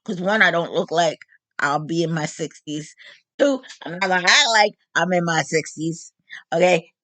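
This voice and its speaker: A woman in her late fifties talking openly to her audience in a casual, animated, joking monologue. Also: lowish voice, moderate pace, no room sound. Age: 50s. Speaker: vlog host.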